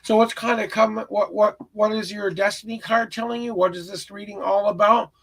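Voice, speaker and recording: A man with a crackly, raspy voice giving an earnest, encouraging and rambling tarot reading as a live stream host. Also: sits high, steady tone, normal speed, fairly bright tone. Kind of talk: spiritual tarot reading, casual guidance to a viewer.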